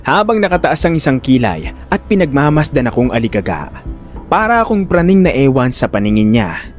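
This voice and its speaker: A man's voice: very animated, fairly quick, faint music behind, lightly acted. A man playing an anxious, protective older brother who tells his suspense story in first person, with dramatic narration and acted dialogue. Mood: tense. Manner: dramatic storytelling, exasperated and high-pitched in dialogue.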